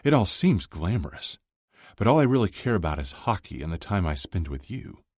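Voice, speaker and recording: A man's voice: low-pitched, big pitch swings, a little fast, a little mellow, no room sound, studio quality, voice pushed up. A man voicing a modest, sincere young sports star who speaks a little hesitantly, in an audiobook romance. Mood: earnest, tender. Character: earnest, modest male pro hockey star in love.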